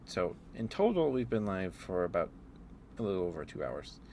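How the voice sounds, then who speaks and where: A man livestreamer chatting casually in an ASMR stream, rambling in a hesitant, unhurried way. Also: sits low, sing-song, mellow, slight background noise.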